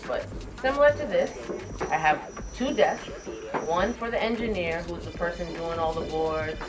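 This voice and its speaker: A young woman giving informal, encouraging, emphatic answers in an interview with young students. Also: sits low. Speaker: radio host being interviewed. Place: indoors in a room. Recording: distant mic, music behind. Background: music.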